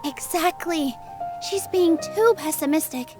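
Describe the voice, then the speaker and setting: Earnest young woman, very high-pitched. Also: measured pace. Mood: determined. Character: gentle young woman dancer, earnest, protective, hopeful. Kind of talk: anime game voice acting. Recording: game audio with music behind.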